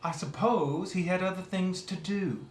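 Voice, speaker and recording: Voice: mid-range voice, moderate pace, echoey room, slight background noise, natural voice. An actor playing a witty, weary literature professor with arch, emphatic, theatrical diction in a web series. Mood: exasperated, sardonic. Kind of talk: scripted web series acting.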